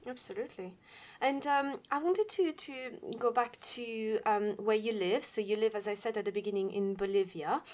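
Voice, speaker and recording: A woman's voice: medium pitch, normal speed, a little mellow. A woman from Belgium hosting an interview, talking in a supportive, chatty way with fillers. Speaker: interviewer, podcast host. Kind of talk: casual interview chat.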